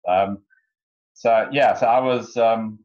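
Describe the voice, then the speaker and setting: A man's voice: on the low side, steady tone, slow pace, darkish tone. A middle-aged man with a South African accent and an Afrikaans mother tongue, telling his life story as an interview guest, hesitant with many ums. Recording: dull lo-fi audio.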